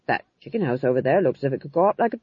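A husky, dutiful sergeant from a comic storybook, acted out by a narrator, blunt yet deferential. His delivery jumps about in pitch, on a dry, slightly muffled recording. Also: lively intonation, racing, no room sound. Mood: hurt, pleading. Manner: blunt, deferential, eager to please. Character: loud, over-eager, dutiful army sergeant, man.

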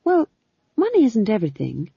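A woman's voice: on the high side, huge pitch swings, normal speed, gravelly, mellow, husky, no room sound, dull audio, voice pushed up, full character voice. A woman voicing an earnest, prim, idealistic younger witch with brittle politeness in an audiobook. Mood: earnest, hopeful. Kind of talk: audiobook character voice.

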